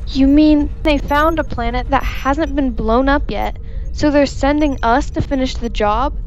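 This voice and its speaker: A slightly dull recording of a sardonic female starship engineer, played by a woman. Her voice is high, yet dry and unimpressed, in sci-fi comedy audio drama style. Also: measured pace, darkish tone, a little hiss or hum. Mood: dry sarcasm.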